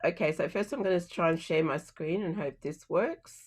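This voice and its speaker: A woman's voice: lowish voice, normal speed, darkish tone. A woman guest presenter getting ready to share her screen on a video call.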